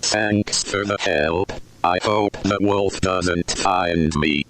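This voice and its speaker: A man voicing a grave little pig in a horror animation game, speaking in a measured, halting way. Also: low voice, fairly bright tone. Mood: uneasy. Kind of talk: slow analog horror game dialogue.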